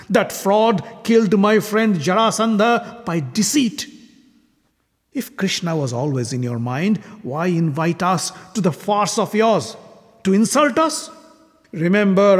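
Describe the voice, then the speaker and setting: A man voicing an arrogant, furious king who hurls scornful insults and shouts in defiance. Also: sits high, voice pushed up. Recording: long hall reverb.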